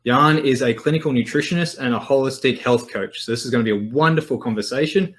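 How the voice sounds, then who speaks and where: An upbeat man in his thirties hosts an interview, encouraging his guest along. His voice sits at a middling pitch. He talks through a headset mic on a video call. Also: quick pace. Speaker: podcast host. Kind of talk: friendly interview hosting.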